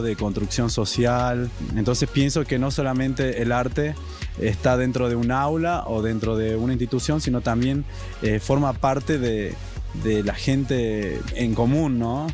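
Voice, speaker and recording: A man's voice: medium pitch, darkish tone. A man in his twenties with a northern Argentine accent, a student artist answering earnestly in an interview. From Salta, northern Argentina. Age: 20s. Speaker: student artist and stage director. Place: theatre stage with curtains. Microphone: handheld reporter microphone. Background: music.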